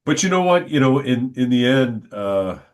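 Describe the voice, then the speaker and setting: A middle-aged man, a stadium announcer, telling stories in a relaxed, good-humored interview. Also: mid-range voice, moderate pace. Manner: good-humored, reflective, talks at length. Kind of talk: casual storytelling in an interview.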